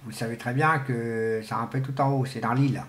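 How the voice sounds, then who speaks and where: A middle-aged man giving an informal, unscripted explanation in a halting, colloquial way, repeating himself for emphasis, as an amateur radio hobbyist. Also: medium pitch, fairly even intonation, fairly bright tone, slight background noise. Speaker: amateur CB radio enthusiast presenting. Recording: echoey, roomy sound.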